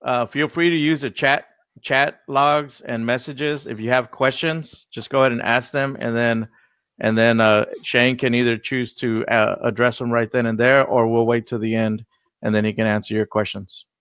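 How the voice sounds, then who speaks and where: A man moderating an online meeting, giving quick instructions and repeating words. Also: medium pitch, fairly even intonation, a bit bright, clean tone, dry recording, highs cut off. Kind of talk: meeting announcement.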